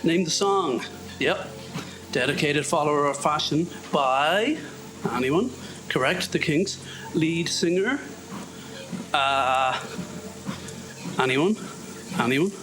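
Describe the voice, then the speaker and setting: A man's voice: a little high, slow pace, long pauses, poor audio. An Irish man talking to himself in a loose, wandering monologue while walking on a treadmill, sniffly, with self-mocking asides. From Ireland. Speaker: podcast host. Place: home, on a treadmill. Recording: background music under voice.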